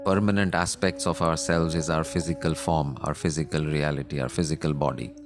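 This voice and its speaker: A man with an Indian accent leading a guided meditation in a measured, deliberate manner with long pauses, teaching contemplatively. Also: very deep voice.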